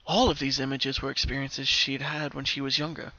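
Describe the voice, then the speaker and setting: Bright-voiced man narrating dramatically. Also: medium pitch, little pitch movement, fairly quick, no room sound, highs cut off. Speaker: narrator of fan fiction.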